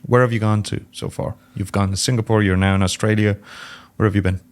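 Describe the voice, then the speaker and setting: A man hosting a podcast, asking relaxed interview questions and prompting his guest with short acknowledgements. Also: on the low side, quick pace. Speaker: podcast host.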